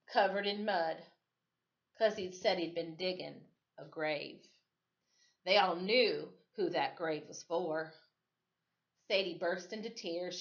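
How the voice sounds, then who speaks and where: Woman reading a storybook, slightly dull sound. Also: lowish voice. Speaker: storytime reader. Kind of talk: expressive children's storybook read-aloud.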